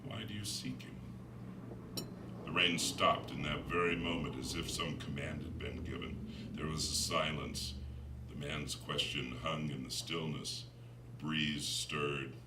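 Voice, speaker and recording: A man's voice: extremely low pitch, steady tone, unhurried. A man giving a dramatic literary narration, reading from a gothic novel as its author. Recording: roomy, distant, noisy recording.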